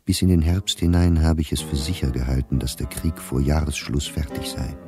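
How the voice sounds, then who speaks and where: An actor playing a sober, weary male diarist in wartime, reading his entries in a measured, deliberate way for a radio play. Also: very deep voice, over music. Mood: disappointed. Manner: measured, deliberate, clipped diary phrasing.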